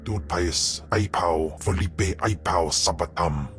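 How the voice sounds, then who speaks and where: An imposing alien king for a sci-fi game. His voice is extremely deep, slow and solemn, with faint music underneath. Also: a bit bright. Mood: grave. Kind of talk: video game voice acting in an invented alien tongue.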